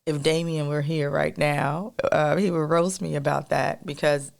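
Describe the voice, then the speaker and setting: Soft-voiced woman with a gravelly edge. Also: low voice, fairly even intonation, unhurried. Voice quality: soft melodic voice. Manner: rambling, addresses listeners as y'all, many fillers. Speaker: podcast co-host. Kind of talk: casual chatty monologue to listeners.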